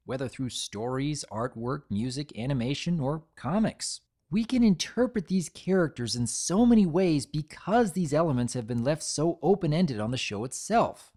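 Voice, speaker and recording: A man's voice: on the high side, warm tone. A man hosting a fan channel, giving scripted analysis commentary in an earnest, formally worded way.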